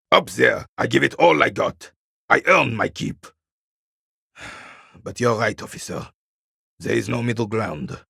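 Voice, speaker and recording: An actor playing a proud, bitter old royalist soldier in a video game, stern and disdainful, with a gravelly, raspy voice. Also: male voice, mid-range voice, fairly bright tone, dry recording, clean studio sound, heavily acted. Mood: resolute. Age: older. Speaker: retired carabinier working as a guard. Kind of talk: video game character dialogue.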